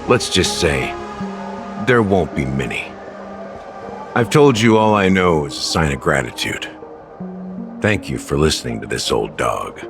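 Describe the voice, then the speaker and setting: An actor playing a world-weary older officer in a video game, brooding and measured as he speaks with regret. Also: extremely low pitch, animated, over music, full character voice. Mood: weary, reflective. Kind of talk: dramatic game dialogue.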